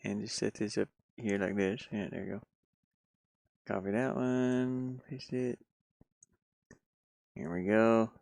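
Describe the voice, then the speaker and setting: Male, low gravelly voice, close dry sound; casual self-directed work commentary, lightly playful. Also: steady tone, slow, long pauses, darkish tone, no echo. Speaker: game developer streaming his pixel art work.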